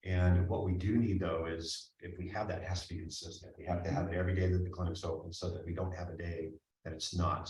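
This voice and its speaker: A man speaking earnestly at a board meeting, reassuring the board with many restarts. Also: sits low. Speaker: health care district administrator. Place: meeting room. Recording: echoey room from far off.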